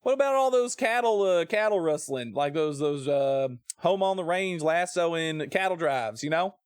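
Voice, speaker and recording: Male streamer, American, fairly high voice, playful folksy drawl in asides, casual gameplay chat, dry close sound. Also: animated, moderate pace, smooth, no echo. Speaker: game streamer. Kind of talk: casual joking live gameplay commentary, reading game text aloud.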